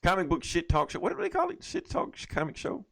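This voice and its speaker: A man hosting a casual podcast, loose and halting as he thinks aloud. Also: high voice, huge pitch swings, creaky, a bit bright, no echo. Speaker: podcast host. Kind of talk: casual chat, show sign-off.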